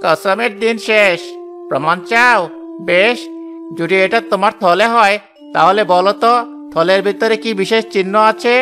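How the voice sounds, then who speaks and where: A man's voice: high-pitched, normal speed, bright, forceful tone, soft background music. A man voicing a brazen, scheming accuser in a cartoon tale, insistent and indignant. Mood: scornful, accusing. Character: brazen scheming male accuser falsely claiming a purse. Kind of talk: dramatic cartoon voice acting.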